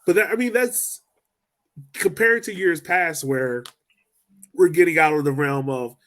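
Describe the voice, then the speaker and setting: A man's voice: fairly high pitch, measured pace, fairly bright tone. A man hosting a casual sports podcast, talking loosely and rambling as he lays out his points. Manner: loose, rambling, laughs easily. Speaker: podcast host.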